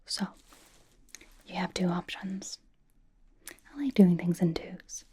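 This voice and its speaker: A woman voicing a blunt but cozy young female pop star in a breathy, casual, halting ASMR whisper, doting on the listener. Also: fairly low pitch, expressive, dark tone, exaggerated voice. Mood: matter-of-fact, bossy. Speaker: ASMR roleplay performer. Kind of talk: whispered ASMR personal-attention roleplay.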